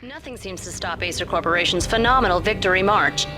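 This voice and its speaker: A woman voicing a TV news reporter, delivering a brisk broadcast news report. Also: lowish voice, over music, close to an everyday voice.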